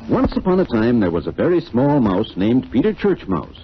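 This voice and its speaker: A man narrating a children's storybook tale in an expressive, measured 1940s style. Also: medium pitch, soft background music. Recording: muffled 1940s record sound.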